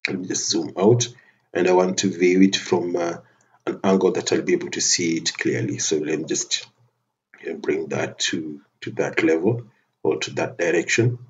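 Deep-voiced man narrating software steps. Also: fairly even intonation, normal speed, lo-fi sound. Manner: methodical step-by-step narration with frequent restarts and fillers. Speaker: software tutorial instructor. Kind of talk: screen-recorded software walkthrough.

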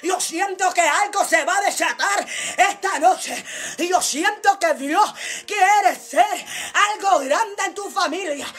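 A woman preacher delivering a fervent Pentecostal-style sermon in an emphatic, repetitive, declamatory way, impassioned and often rising to shouting. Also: extremely high pitch, slow.

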